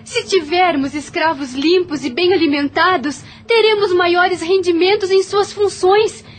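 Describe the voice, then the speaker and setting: A woman plays a young plantation mistress in an audio drama, compassionate yet determined. Her voice is very high, and she speaks with earnest authority. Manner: earnest, commanding.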